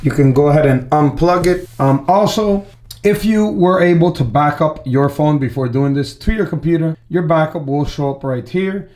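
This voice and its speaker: A man hosting a tech tutorial, explaining the steps in a relaxed, repetitive way, recorded at a desk indoors. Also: on the high side, normal speed. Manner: relaxed, repetitive walkthrough with "gonna" and "all right". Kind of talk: casual step-by-step tutorial.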